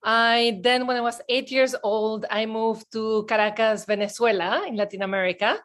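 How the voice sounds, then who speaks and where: A woman in her forties, born in Belgium, a podcast guest telling lively stories and acting out her past self in excited bursts. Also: on the high side, moderate pace. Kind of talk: casual interview chat.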